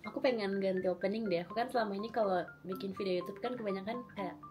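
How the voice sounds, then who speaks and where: A young woman in her twenties, slightly hoarse from a recent cold, chatting casually and rambling with lots of fillers and giggles while she does her makeup at home. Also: medium pitch, quick pace, over music. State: recovering from a cold. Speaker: beauty vlogger. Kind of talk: casual makeup vlog chat.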